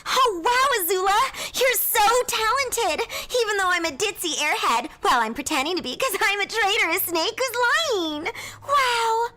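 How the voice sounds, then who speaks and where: A woman putting on a gushing, ditzy, bubbly young female flatterer in a comic impression. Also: very high-pitched, normal speed, hardly any pauses, pitched above the performer's own voice, exaggerated voice.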